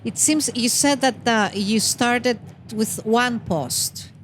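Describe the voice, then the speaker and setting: A woman hosts a podcast interview with a fairly high voice and a faint hiss of noise behind her. She asks curious, probing questions at an easygoing pace. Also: takes it slowly. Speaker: podcast co-host.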